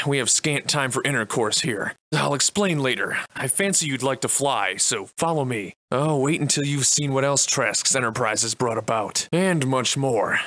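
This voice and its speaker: Game hero, male actor as wry old-fashioned gentleman: florid, theatrical diction, forceful bright tone, very dry close recording. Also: mid-range voice, dry recording. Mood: wry, eager. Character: sardonic, verbose old-fashioned gentleman adventurer, wryly defiant. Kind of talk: theatrical video game character acting.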